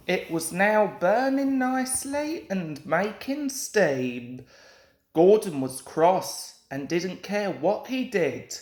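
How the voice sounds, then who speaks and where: A young man narrating a children's story expressively. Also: high voice. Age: young adult. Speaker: storybook reader. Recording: roomy home recording with echo.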